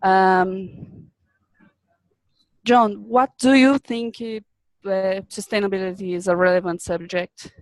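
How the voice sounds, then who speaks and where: A young woman from Brazil, a business student, presenting a webinar in a halting way, often repeating words as she searches for them. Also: medium pitch, fairly even intonation, no room sound, poor audio.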